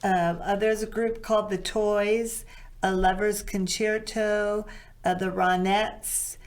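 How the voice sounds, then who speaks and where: A middle-aged woman with a low, soulful voice, a singer chatting as an interview guest, rambling and backtracking as she talks. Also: little pitch movement, slow pace. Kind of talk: casual interview chat.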